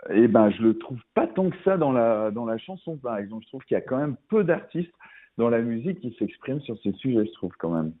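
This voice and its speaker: A man's voice: mid-range voice, very animated, a little fast. A middle-aged man, a musician guest on a radio interview, talking casually with many fillers and restarts. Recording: tinny phone-like radio sound.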